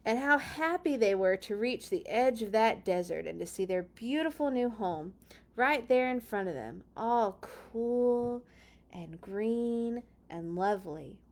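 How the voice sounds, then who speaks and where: A woman's voice: on the high side, animated, a little slow, a little mellow. A woman hosting a children's read-along, reading a storybook expressively with chatty, playful asides and dramatic emphasis.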